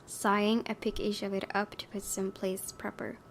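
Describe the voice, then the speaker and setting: Girl doing a lets play, reads story out loud w offhand comments, bit of vocal fry, slight rumble in background. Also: little pitch movement, normal speed. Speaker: gaming video host, reading a visual novel aloud. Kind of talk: let's play narration with casual asides. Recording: faint low rumbling background noise.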